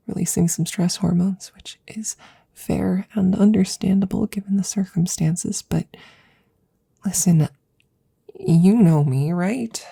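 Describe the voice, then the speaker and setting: A woman playing an anxious, devoted scientist in an ASMR audio roleplay, speaking in a breathy, gravelly, intimate near-whisper with halting stammers. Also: lowish voice, dark tone, full character voice. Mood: pleading, soothing. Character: anxious, devoted female scientist tending her best friend.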